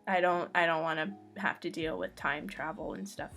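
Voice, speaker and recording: A woman's voice: low-pitched, moderate pace, bright, forceful tone, soft background music. A woman answering fan questions about her own comic in a casual, jokey and informal way. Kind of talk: casual playful chat.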